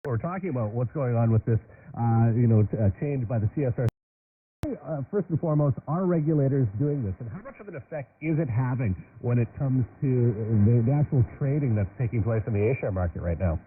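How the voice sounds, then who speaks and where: Man, radio news host, lively varied pitch, conversational questioning, thin shortwave sound with static. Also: a little fast. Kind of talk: news presenting and interviewing. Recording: noisy, narrowband shortwave radio broadcast.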